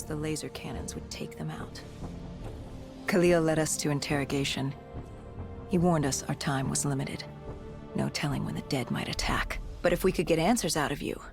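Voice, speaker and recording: An actor playing a grim, battle-worn cybernetic soldier, recounting humanity's fall in brooding, measured game narration with a gravelly edge. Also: mid-range voice, fairly even intonation, over music, close to an everyday voice. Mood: tense, matter-of-fact. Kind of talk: scripted dramatic game narration.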